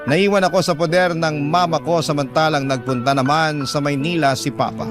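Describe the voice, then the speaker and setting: A man's voice: a little high, steady tone, fairly quick. A man reading a letter aloud as dramatic first-person narration for a radio drama. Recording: clear background music under voice. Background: music.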